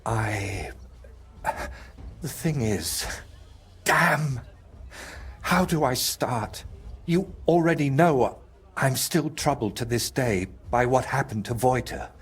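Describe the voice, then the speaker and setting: An actor playing a troubled, anxious painter in a video game, speaking haltingly and drifting into mutters. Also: male voice, medium pitch, lively intonation, slightly rough. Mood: troubled, confiding. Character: troubled, haunted male painter, anxious and distracted. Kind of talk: naturalistic video game acting.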